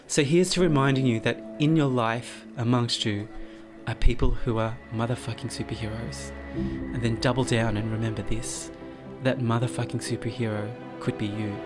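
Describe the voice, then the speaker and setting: A young man from Cambodia, telling his story as a business founder in a soft, earnest documentary narration with frequent pauses. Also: mid-range voice. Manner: soft-spoken, earnest, pausing with frequent ums. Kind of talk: documentary testimony and reflective narration.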